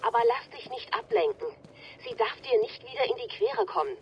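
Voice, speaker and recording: A woman voicing a sharp, caring tech support ally speaking over a radio link in a game dub. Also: very high-pitched, big pitch swings, fairly quick, creaky, dull audio, noisy background, exaggerated voice. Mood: concerned.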